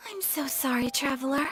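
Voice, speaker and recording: A woman's voice: high-pitched, rough recording. A woman playing a refined, courteous young noblewoman in an anime-style video game, formal and poised. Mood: apologetic.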